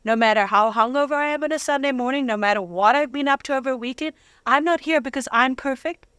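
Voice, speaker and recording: A woman's voice: high-pitched, quick pace, a bit bright, clean tone, no room sound, clean studio sound. A woman telling her personal testimony as an interview guest, with dramatic, candid storytelling and slangy humour.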